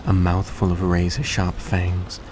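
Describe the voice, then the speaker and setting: A man playing a suave, tender centuries-old vampire in a roleplay audio drama, speaking in a soft, coaxing, genteel way to the listener. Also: deep voice, measured pace. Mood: solemn storytelling. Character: suave, gracious centuries-old male vampire, young-looking, tender and grateful. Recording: steady background noise, vehicle-like ambience.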